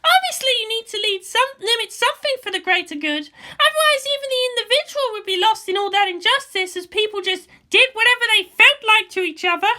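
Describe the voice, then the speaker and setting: A woman's voice: very high-pitched, lively intonation, fairly quick, few pauses, pushed and bright, pitched above the performer's own voice, exaggerated voice. A woman voicing a headstrong, argumentative girl with fierce conviction and an emphatic delivery, in a fan fiction reading. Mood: indignant. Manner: emphatic, declamatory. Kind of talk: fan fiction audiobook character voice.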